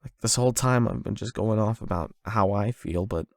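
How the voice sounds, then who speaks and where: A man performing an intimate confession roleplay as a nervous, lovestruck young man, speaking in a halting, self-doubting, conversational way into a close microphone. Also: fairly low pitch, darkish tone, no room sound. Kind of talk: emotional confession roleplay, ASMR-style monologue.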